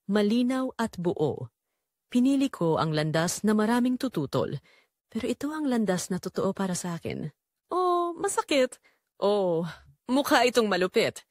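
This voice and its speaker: Casting: female Filipino audio drama narrator, earnest and emotive, animated pitch, close dry recording. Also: no echo. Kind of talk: scripted first-person dramatic narration.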